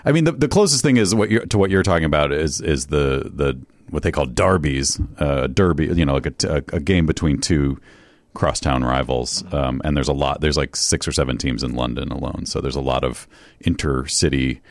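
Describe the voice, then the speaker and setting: A man's voice: very deep voice, fairly quick, no room sound. A man co-hosting a casual podcast in a studio, rambling and hesitant as he explains things. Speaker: podcast co-host and producer.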